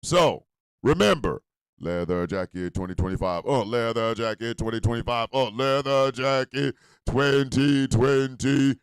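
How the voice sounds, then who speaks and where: A man hosting an internet radio show and doing comedic ad reads in a loud, rambling, jokey way. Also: mid-range voice, unhurried, clean tone, no echo, clean studio sound.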